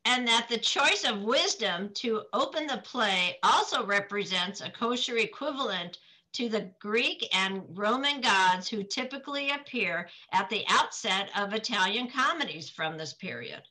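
A woman's voice: medium pitch, expressive, unhurried, bright, forceful tone, rough recording. An older woman reading scripted scholarly commentary on a video call. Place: indoor room with window blinds.

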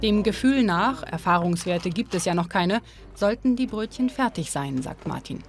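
A woman's voice: medium pitch, expressive, moderate pace. Woman narrating a documentary over music.

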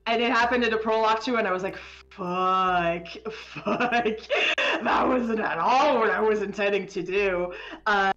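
Woman game dev chatting on stream, animated, keeps cutting herself off, kinda dull lo-fi sound. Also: medium pitch, a little slow, soft background music. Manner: animated, self-interrupting, stammers over words. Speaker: game developer being interviewed. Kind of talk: casual live stream chat.

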